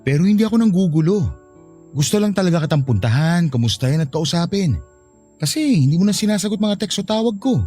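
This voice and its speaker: An earnest, insistent male suitor, with a slightly high, mellow voice. He sounds wounded, in lively radio drama style over faint music. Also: quick pace. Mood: insistent, hurt.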